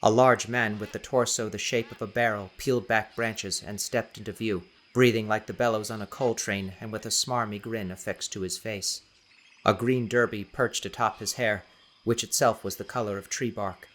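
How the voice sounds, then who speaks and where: A male narrator reads dramatic fiction for an audiobook. His voice is low-pitched but bright and forceful, and he tells the story in a theatrical, deliberate way. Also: steady tone.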